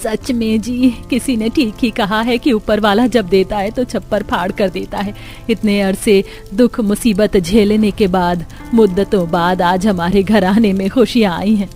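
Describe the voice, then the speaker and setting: A woman's voice: medium pitch, fairly even intonation, a little slow, warm tone, soft background music, natural voice. A woman as a plaintive old mother-in-law. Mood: moved.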